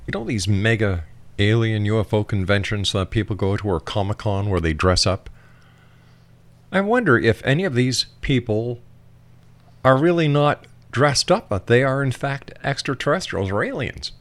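A man's voice: mid-range voice, big pitch swings, moderate pace. A man hosting an interview in a conversational style, musing aloud and putting questions to a guest. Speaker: interview host.

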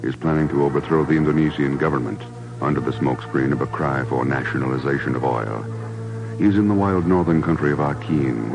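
A man's voice: rumbling low, poor audio. A man narrating a 1950s radio drama as a scripted announcer. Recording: old radio broadcast recording.

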